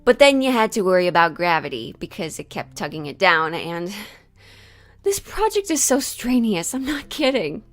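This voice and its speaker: A woman's voice: lowish voice, big pitch swings, normal speed. A woman hosting a sewing vlog in a chatty, jokey, self-deprecating way, moving between casual asides and scripted narration. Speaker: sewing vlogger and host.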